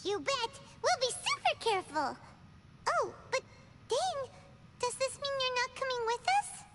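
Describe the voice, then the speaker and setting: A woman voicing a small, cheerful, chatty fairy companion in a video game, with a squeaky childlike voice and a bubbly manner. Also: big pitch swings, unhurried, over loud music, full character voice. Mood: excited. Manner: speaks of self in third person, bubbly. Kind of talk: video game character dialogue.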